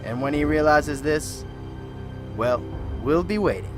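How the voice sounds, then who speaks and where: A man playing a scheming church leader who speaks in a smug, measured, quietly menacing way, with eerie music underneath. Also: a little high, lightly acted. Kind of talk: amateur radio drama acting.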